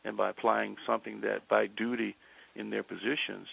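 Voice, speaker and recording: A man's voice: on the low side, level tone, normal speed, gravelly, fairly bright tone, no echo, low-quality recording. An American man, an attorney, giving a spoken statement to the press.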